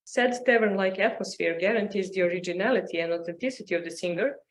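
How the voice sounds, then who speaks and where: A woman presents a formal conference paper in English, reading in a measured, scholarly way with the odd stumble. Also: medium pitch. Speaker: academic presenter.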